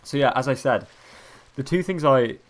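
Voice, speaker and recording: A young man with a cold, sniffly and croaky, rambling through casual gameplay commentary as a let's-play host. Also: medium pitch, normal speed. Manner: rambling, many ums and restarts.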